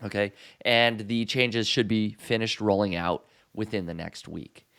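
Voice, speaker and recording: A middle-aged man hosting a casual podcast chat on a boom mic, relaxed and easygoing, with frequent "uh" and "um" fillers. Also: measured pace, smooth. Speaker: podcast host. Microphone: studio boom mic.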